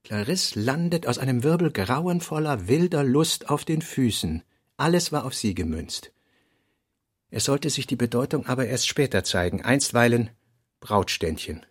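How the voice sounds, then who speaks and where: A man reading literary notes and prose for a radio play, in deliberate, clipped phrases with pauses between them. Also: medium pitch, lively intonation, slightly rough.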